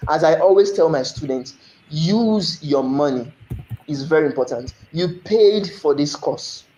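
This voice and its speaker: A Nigerian man with a slightly raised pitch teaches an online French lesson in an echoey room. He explains patiently, repeats French phrases slowly, and keeps checking that listeners follow. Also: lively intonation, mellow, muffled recording, a little hiss or hum. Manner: explanatory, asks "you understand?" often, spells out French slowly.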